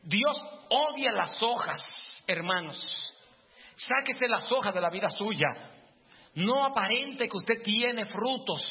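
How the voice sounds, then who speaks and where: A male pastor giving a fervent sermon in a church, at times rising to shouts. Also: high voice, lively intonation, takes it slowly, breathy edge. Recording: long church reverb, dull lo-fi, faint music.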